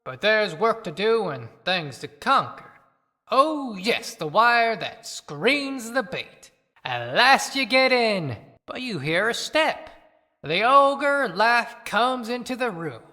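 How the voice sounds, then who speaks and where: A woman's voice: on the high side, sing-song, pushed and bright, full character voice. A woman playing a bitter, haunted dead man, delivering a dramatic poetic monologue in an audio play. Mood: bitter, sardonic. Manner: dramatic, declamatory, deliberate. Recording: long hall reverb.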